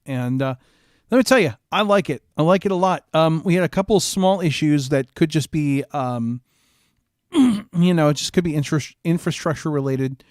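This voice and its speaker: A man's voice: fairly high pitch, moderate pace, dry recording, studio quality. A man speaking casually as a streamer, reviewing a game and addressing the audience. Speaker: streamer and show host.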